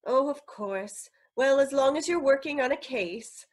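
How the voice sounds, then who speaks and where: A woman's voice: high voice, unhurried, a little mellow. A woman playing a peeved but loving young fiancée, scolding in old radio drama style. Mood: mollified.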